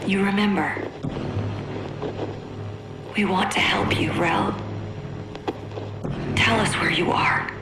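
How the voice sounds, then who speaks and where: A woman playing an eerie, cryptic, coaxing questioner in a video game, halting and hushed. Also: mid-range voice, steady tone. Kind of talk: scripted video game voice acting. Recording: echoing, loud music behind.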